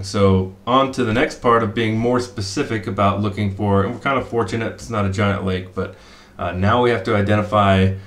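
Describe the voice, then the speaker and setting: A man's voice: fairly low pitch, a bit bright, echoey room. A middle-aged man walking viewers through a screen share from a desk in a small room, thinking aloud with lots of "um" and "uh". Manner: explanatory, thinking aloud with fillers. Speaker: fishing spot analyst.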